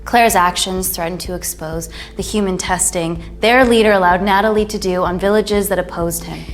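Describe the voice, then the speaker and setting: An actress playing a determined war-zone veteran who demands accountability, in film drama style. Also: female voice, lowish voice, fairly even intonation, normal speed, natural voice. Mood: confrontational. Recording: music behind the dialogue.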